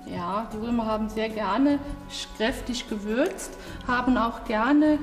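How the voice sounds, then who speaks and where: A woman's voice: a little high, a little slow, faint music behind. A woman working as a cook, explaining things casually while she works, recorded on location. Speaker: tavern cook and interviewee. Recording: roomy, reverberant on-location sound.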